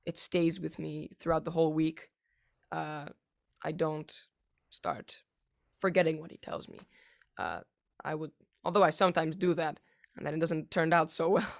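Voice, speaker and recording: A teenage boy answering interview questions about piano, halting, with many fillers and restarts. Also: on the high side, flat delivery, gravelly. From United States. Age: teens. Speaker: young pianist interviewee.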